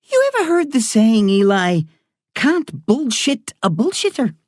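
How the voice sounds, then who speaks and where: A reader voicing a blunt but kind woman doctor with an Irish accent, earthy and warm in her talk. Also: mid-range voice, very animated, measured pace, dry recording, studio quality, voice pushed up. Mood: wry. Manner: salty, earthy talk said with warmth. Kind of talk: audiobook character reading.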